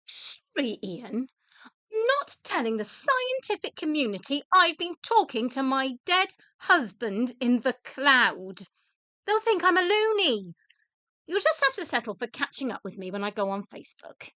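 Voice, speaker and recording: A down-to-earth widow in a radio play, acted by a woman, with a high and lively voice. She takes her time over each line and deflates grand claims with dry scepticism. The recording is dry and close. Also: a little slow, no room sound, heavily acted. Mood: exasperated, firm. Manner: matter-of-fact, dry, sceptical. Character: unimpressed, down-to-earth widow of a scientist, dryly deflating. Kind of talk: radio play dialogue acting.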